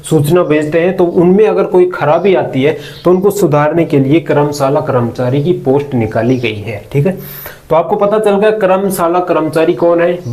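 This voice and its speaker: A man's voice: on the high side, a little mellow. A man giving an informal explainer lesson, conversational, often posing questions and answering them himself with frequent check-in tags. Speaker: presenter of a job-recruitment explainer channel.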